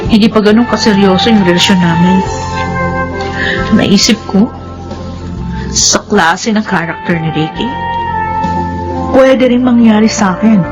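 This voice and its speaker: A woman's voice: medium pitch, measured pace, long pauses, close to an everyday voice, low-quality recording. An actress playing an earnest, principled young woman in a radio drama, with a Filipino accent. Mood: subdued. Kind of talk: radio drama acting and narration. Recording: dramatic music under the voice.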